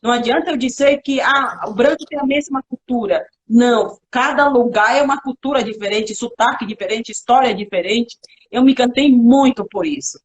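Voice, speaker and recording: Kalapalo-speaking woman on a video call. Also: on the high side. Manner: thoughtful, halting Portuguese with restarts. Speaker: guest. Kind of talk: casual live interview.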